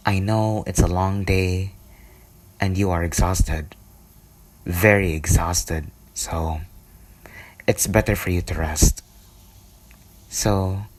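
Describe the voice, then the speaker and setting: A man speaking softly and intimately in a soothing ASMR bedtime style, sometimes dropping into a whisper. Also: deep voice, rough recording. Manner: soft, intimate, soothing delivery, partly whispered. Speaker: ASMR storyteller. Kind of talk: ASMR bedtime roleplay and storybook reading.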